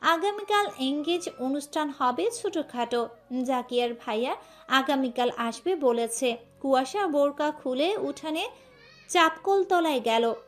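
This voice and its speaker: High-pitched woman narrating a story. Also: unhurried. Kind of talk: scripted audio story narration. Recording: music under the voice. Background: background music.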